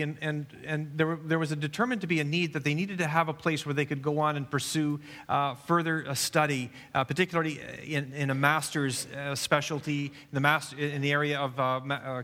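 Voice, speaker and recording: A bright, forceful man with a rather high voice, speaking earnestly to a congregation. He often stumbles and restarts his words. His voice rings with the long reverb of a church hall. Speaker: pastor. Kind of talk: church service introduction.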